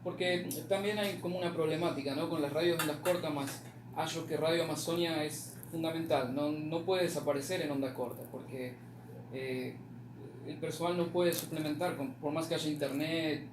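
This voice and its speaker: Guy interviewing, mixing languages, halting, echoey and a bit far from the mic. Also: fairly high pitch, fairly even intonation. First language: Spanish. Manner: halting, mixes Spanish with Portuguese. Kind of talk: casual interview questions. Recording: echoey, noisy room, distant from mic.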